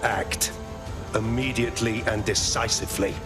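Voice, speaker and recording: An actor playing a cold authoritarian security chief giving a measured, grandiose presentation speech in a video game. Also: male voice, on the low side, steady tone, lightly acted. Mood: cold, imposing. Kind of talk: scripted dramatic presentation speech. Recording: loud music behind.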